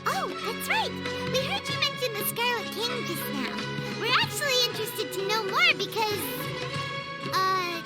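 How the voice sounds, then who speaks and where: A tiny childlike fairy companion from a video game, squeaky and extremely high. She is excitable and suspicious, swinging up and down in pitch at an unhurried pace. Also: faint music behind, exaggerated voice, rough recording. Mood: flustered. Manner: exclaiming, refers to herself by name. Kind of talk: animated video game voice acting.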